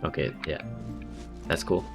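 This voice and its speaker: A woman giving casual, slangy, joking livestream commentary over a game, with some swearing. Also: a little slow. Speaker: game streamer. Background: faint game music.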